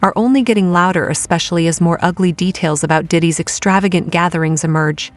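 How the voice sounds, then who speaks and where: Low-voiced woman narrating celebrity gossip. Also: fast talker.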